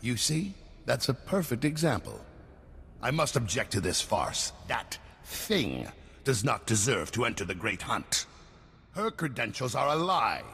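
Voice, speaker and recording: An actor playing a haughty villainous rival hunter in a video game, pompous, indignant and contemptuous. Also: male voice, medium pitch, creaky, bright, forceful tone, slightly rough, heavily acted. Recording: long hall-like reverb.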